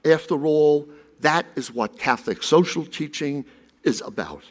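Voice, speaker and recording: A man reading a prepared conference lecture with an earnest, expressive delivery. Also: a little high, unhurried. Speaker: conference speaker.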